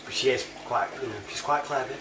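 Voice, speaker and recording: A man's voice: mid-range voice, quick pace. British man, casual matey monologue, informal slang, roomy echo, distant from the mic. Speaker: online reviewer and CB chat app user. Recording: roomy, distant mic, clear background noise.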